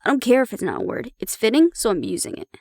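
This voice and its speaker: A woman's voice: a little high, huge pitch swings, quick pace, a little mellow, no echo, clean studio sound, heavily acted. A woman acting in an audio roleplay as a young adult daughter, defiant, loyal and argumentative, with an emotional, sarcastic edge. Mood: weary, softening.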